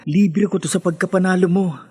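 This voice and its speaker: A man's voice: high voice, steady tone, fairly quick, darkish tone, breathy edge. A man playing a friendly, generous teenage schoolboy in a Filipino radio drama, casual and easygoing. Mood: friendly, supportive.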